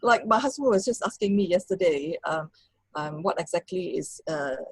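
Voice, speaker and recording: A woman's voice: fairly low pitch, expressive, normal speed, breathy edge, no echo. A middle-aged woman with a Malaysian accent, a native Cantonese speaker, hosting an interview and speaking hesitantly with many ums. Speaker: interviewer, bookstore host. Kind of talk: casual interview.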